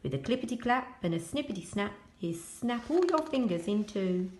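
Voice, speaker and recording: A woman reading a children's picture book aloud as a school storyteller, expressive and addressing young children. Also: mid-range voice, moderate pace, reverberant, slight background noise.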